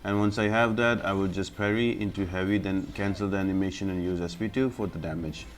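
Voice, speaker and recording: Low-voiced man giving a game walkthrough. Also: fairly even intonation, fairly quick. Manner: instructional, step-by-step. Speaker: gaming guide host. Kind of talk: explanatory gameplay commentary.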